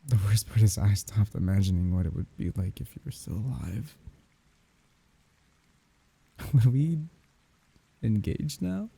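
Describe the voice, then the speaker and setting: A man playing a grieving lover saying farewell at a grave in an intimate ASMR roleplay, husky and breathy, halting and tender, often slipping into whispers. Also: on the low side, mellow, no room sound, exaggerated voice.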